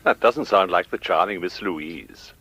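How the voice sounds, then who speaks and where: An actor playing a mockingly polite, menacing criminal caller in a radio drama. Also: male voice, deep voice, measured pace, fairly bright tone, breathy edge, full character voice. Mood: smooth, threatening. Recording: dull, dry telephone-style line.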